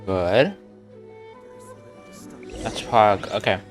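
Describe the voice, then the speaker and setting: A man's voice: on the low side, expressive, very slow, faint music behind. A man giving casual livestream game commentary in brief, musing asides.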